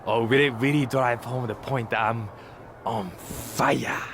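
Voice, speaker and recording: A man's voice: medium pitch, steady tone, a little slow, noisy background, close to an everyday voice. An actor playing a vain, cocky young male wrestler obsessed with social media, smug and dismissive, in a video game cutscene. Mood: vain, oblivious. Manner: smug, dismissive, self-absorbed.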